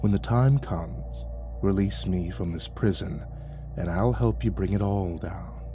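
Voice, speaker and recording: A man voicing a calm, ancient male dragon who speaks low, hushed and slow, sometimes in a whisper. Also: soft background music. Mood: hushed, secretive.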